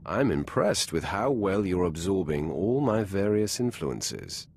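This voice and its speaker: Very deep, gravelly Sith master. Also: male voice, sing-song, a little mellow, slight background noise, exaggerated voice. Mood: dry amusement. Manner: measured, imperious, dryly suggestive. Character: commanding male Sith master, cold, ruthless, smug. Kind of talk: scripted video game acting.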